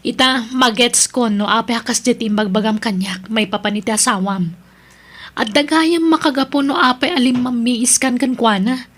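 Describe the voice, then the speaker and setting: High-voiced, scolding best friend. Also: female voice, moderate pace, fairly bright tone. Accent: Filipino. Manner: admonishing, exasperated. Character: disapproving female best friend, blunt and scolding. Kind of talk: audio drama acting.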